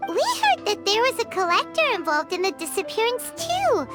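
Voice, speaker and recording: A tiny floating fairy companion, chirps in a very high, squeaky voice that leaps up and down. She refers to herself in the third person. Game music plays behind. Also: big pitch swings, moderate pace. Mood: curious. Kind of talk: game voice acting.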